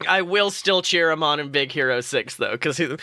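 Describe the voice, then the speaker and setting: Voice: mid-range voice, moderate pace, bright, forceful tone, slight background noise. A podcast co-host chatting casually and analytically about a cartoon, with some swearing.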